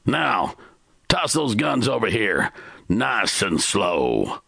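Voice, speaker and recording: A man voicing a gruff, suspicious gunman who barks threats in a Western story. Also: fairly low pitch, big pitch swings, takes it slowly, pushed and bright, no room sound, studio quality, voice pushed up, heavily acted. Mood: menacing. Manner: barking, threatening.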